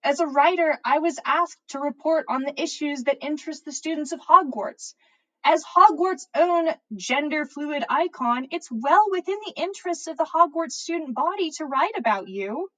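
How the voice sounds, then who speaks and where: A woman's voice: high voice, moderate pace, sharp tone, voice pushed up, rough recording. A woman voicing an earnest student writer in a story reading, defensive and pleading.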